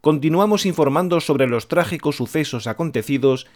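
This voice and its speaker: A man's voice: on the high side, normal speed, a little mellow, lightly acted. A man playing a newsreader on a clandestine 1970s radio station, reading the news formally. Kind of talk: scripted news broadcast in a radio drama.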